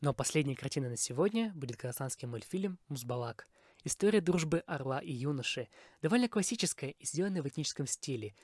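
A man's voice: a little high, animated, quick pace, a little mellow, dry recording. A man from Kazakhstan hosting a podcast, delivering a scripted review monologue. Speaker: podcast host.

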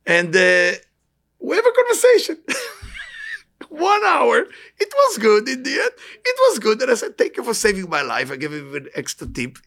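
A man's voice: very high voice, wildly swooping pitch, normal speed, creaky, a bit bright. A man with an Israeli accent giving an inspirational talk as a spiritual teacher, emphatic and repeating short phrases. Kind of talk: inspirational talk with anecdotes to a live audience.

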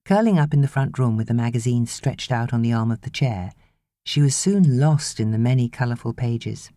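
A woman narrating an audiobook novel in an expressive, measured storytelling manner. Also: a bass voice, dry recording, clean studio sound.

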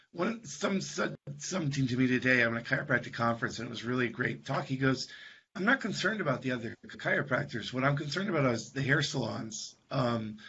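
A man hosting a podcast who is losing his voice, casually asking questions in a halting, stumbling way. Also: mid-range voice, bright, forceful tone, husky. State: losing his voice, throat clearing. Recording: dull audio with faint background noise.

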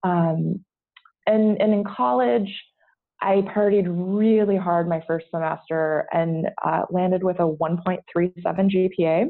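American woman, creaky and slightly husky. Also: on the low side, fairly even intonation, measured pace, phone-quality sound. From United States. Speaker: podcast guest. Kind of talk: candid personal storytelling in an interview. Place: at home, remote podcast call.

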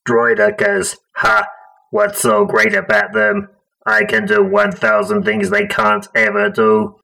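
A brash, boastful little droid with a high, bright, heavily gravelly voice that scoffs at everything. It sounds like a storybook robot sidekick with a grating, know-it-all manner. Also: male voice, monotone, slow pace, full character voice, low-quality recording. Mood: scornful. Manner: boastful, scornful. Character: brash irritating male astromech droid.

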